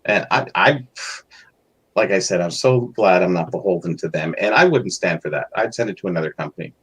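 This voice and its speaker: Lower-voiced man, chatty co-host. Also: lively intonation, a little fast, fairly bright tone, husky. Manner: chatty, acts out quoted voices. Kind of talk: casual hobby chat.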